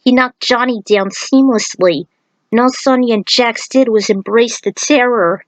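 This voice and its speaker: Higher-pitched woman narrating an action story. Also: a little slow, no room sound, low-quality recording. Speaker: narrator reading fan fiction aloud. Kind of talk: dramatic scripted narration.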